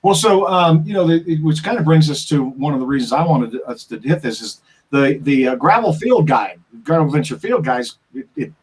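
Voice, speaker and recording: Husky-voiced man asking halting questions. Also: fairly high pitch, animated, darkish tone, poor audio. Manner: halting, rephrases his questions. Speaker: interviewer. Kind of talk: casual interview questions.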